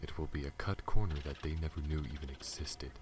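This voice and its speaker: A man playing a guarded, protective alien smuggler pilot in an ASMR audio roleplay, earnest and halting, speaking to the listener. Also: extremely low pitch. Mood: reassuring, earnest. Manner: halting, stammers when flustered, earnest. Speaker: voice actor. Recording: audible background noise.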